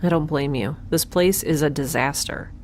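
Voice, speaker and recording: An actress playing a wry, chatty scientist recording an audio diary in space, informal and rambling. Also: female voice, low voice, normal speed, a little mellow, a little hiss or hum, natural voice. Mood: exasperated.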